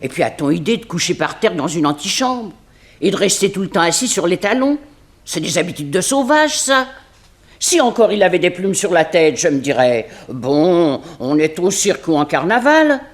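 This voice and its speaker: Fearful housekeeper, colloquial, indignant, lively swooping intonation, vintage radio play. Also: female voice, fairly low pitch, normal speed. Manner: plain-spoken, colloquial, indignant. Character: fearful, grumbling housekeeper, complaining about her job. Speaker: actor. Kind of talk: 1960s French radio comedy-mystery acting.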